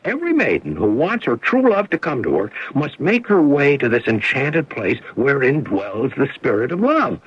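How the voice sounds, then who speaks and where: An actor playing an eager pitchman who spins romantic tales theatrically in a radio drama. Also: wildly swooping pitch, moderate pace, hardly any pauses, husky. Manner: theatrical, enthusiastic. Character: eager pitchman spinning a romantic exotic tale. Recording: dull lo-fi old radio broadcast.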